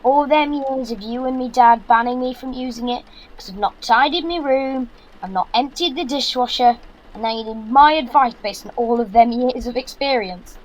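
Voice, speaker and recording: A man's voice: very high voice, normal speed, poor audio. A young man playing a sarcastic, exasperated fifteen-year-old son in an audio drama, with a Yorkshire accent and blunt delivery. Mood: indignant. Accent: Yorkshire English. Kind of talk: naturalistic audio drama acting.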